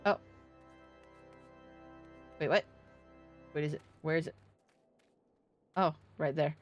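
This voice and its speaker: A woman's voice: deep voice, steady tone, slow pace, highs cut off. A woman livestreaming a game, chatting casually with viewers in a playful, irreverent, slangy way. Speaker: game streamer. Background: soft game music.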